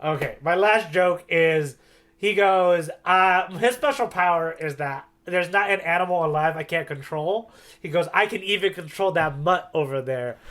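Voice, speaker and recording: A man's voice: high-pitched, normal speed, a bit bright. A man co-hosting a manga podcast, chatting informally and joking along. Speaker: podcast co-host. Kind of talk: casual chat about manga.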